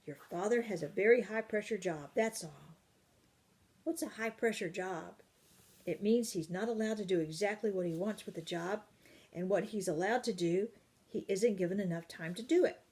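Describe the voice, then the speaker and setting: A fierce, brisk older nanny scolds with no patience for nonsense, as a woman reading a storybook puts her on. Her words come clipped and firm. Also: mid-range voice, darkish tone, breathy edge, lightly acted. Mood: scolding.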